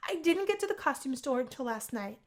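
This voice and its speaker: A woman's voice: high voice, sing-song, a little fast. A woman voicing a shy, bookish young female college student in a visual novel read-through. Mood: distressed.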